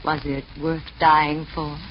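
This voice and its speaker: A woman's voice: sits low, little pitch movement, slow. An actress playing a menacing, mock-sweet female gang boss in a 1940s radio drama. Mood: taunting menace. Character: menacing, mocking female gang boss, sultry noir villainess. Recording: dull, noisy 1940s radio recording.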